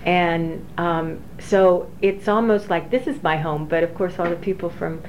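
A woman in her forties, an engineering professor, recalling her career slowly with many fillers and restarts. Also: lowish voice. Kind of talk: oral history interview. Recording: dull lo-fi recording with faint hiss.

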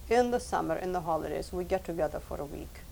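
A woman with a low voice and a gravelly edge, a native Lithuanian speaker, answering questions in a recorded interview. She talks in a measured, thoughtful way, often repeating words. A faint hiss sits under the recording. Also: animated, dark tone, poor audio. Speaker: professor and translator. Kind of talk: recorded oral history interview.

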